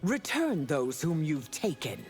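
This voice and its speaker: An imperious video game empress of great age. Her low voice swells and falls dramatically, proud and cutting in every phrase. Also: very animated, measured pace, darkish tone, soft background music. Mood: cold, scornful. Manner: regal, haughty, cutting. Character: proud, imperious empress, thousands of years old. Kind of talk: video game character dialogue.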